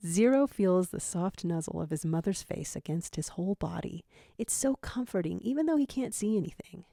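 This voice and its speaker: Female story narrator, dramatic scripted reading, lowish pitch, creaky texture. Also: lively intonation, normal speed, dark tone, dry recording, studio quality.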